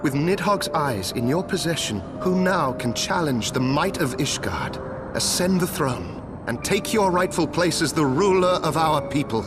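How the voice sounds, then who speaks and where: Impassioned loyal knight played by a man, higher-pitched. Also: expressive, normal speed, music in the background, lightly acted. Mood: urging. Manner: formal, impassioned, archaic speech. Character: earnest, loyal knight counselling his royal lord. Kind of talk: dramatic fantasy video game acting.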